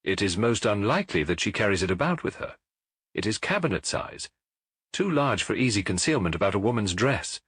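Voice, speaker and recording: A man voicing a brilliant, self-assured Victorian gentleman detective in an audiobook, with an English accent and a precise, authoritative way of giving instructions. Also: lowish voice, sing-song, a little fast, husky, no room sound, studio quality, full character voice. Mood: confident, reasoning. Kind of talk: audiobook character acting.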